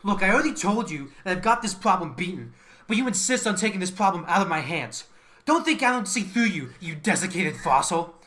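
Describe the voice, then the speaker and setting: A man's voice: sits high, very animated, brisk, pushed and bright, full character voice. A man acting as an ambitious, resentful mathematician in a radio play. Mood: triumphant, combative. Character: ambitious, resentful male mathematician scientist eager for promotion.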